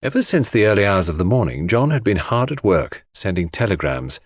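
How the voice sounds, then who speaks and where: Male audiobook narrator, fairly low voice, expressive range, literary storytelling, dry studio-like closeness. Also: a little fast, dry recording. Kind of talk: scripted dramatic literary narration.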